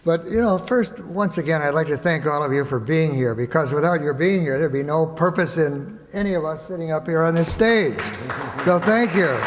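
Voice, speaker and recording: A man hosting a stage show, giving informal thanks to an audience in a halting, rambling, jokey way. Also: a little high, a faint crowd. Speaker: host of a radio players' stage show. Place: stage in a hall before a live audience. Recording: echoey hall, audience, background noise.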